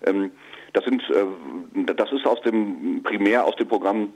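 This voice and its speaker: A comedian being interviewed, answering casually with many fillers and restarts. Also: male voice, lowish voice, a little slow, darkish tone, rough recording. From northern Germany. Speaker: comedian and musician. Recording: radio broadcast.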